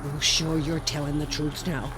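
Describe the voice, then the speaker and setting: A woman's voice: deep voice, level tone, normal speed, fairly bright tone, soft background music, low-quality recording. A woman playing a fervent, preachy older widow and religious zealot in a radio drama, insistent and sermonizing. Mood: pious fervor.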